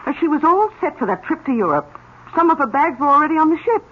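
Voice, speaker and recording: A woman's voice: high voice, big pitch swings, fast. An older woman acting a genteel, fretful aunt in a 1970s radio drama, speaking with earnest, dramatic flair. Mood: worried. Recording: muffled, hissy old radio broadcast.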